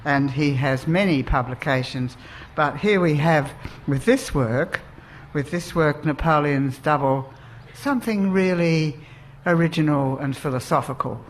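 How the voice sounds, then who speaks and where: An Australian woman chairing a live writers' festival session, introducing the speakers in a measured, deliberate way. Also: deep voice, a little hiss or hum.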